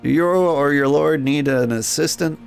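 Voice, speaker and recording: A man's voice: fairly high pitch, moderate pace, creaky, soft background music. Man voicing a polite, formal, naive robot. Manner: formal, precise wording. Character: polite, naive, literal-minded robot seeking work. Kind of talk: character voice in a game playthrough.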